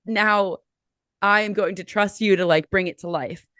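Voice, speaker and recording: A woman's voice: mid-range voice, fairly even intonation, moderate pace, a bit bright, no room sound, clean studio sound. A woman hosting a podcast who asks long, rambling questions full of fillers. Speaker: podcast host. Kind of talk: casual interview chat.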